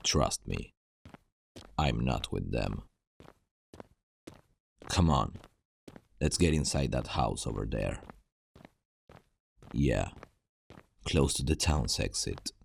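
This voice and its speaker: A man playing a gruff, world-weary zombie apocalypse survivor in an ASMR roleplay, guarded and urgent, terse and hushed, with a gravelly voice. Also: extremely low pitch, lively intonation, slow pace, pauses often, slight background noise, full character voice. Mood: tense, urgent. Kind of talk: ASMR roleplay acting, addressing the listener.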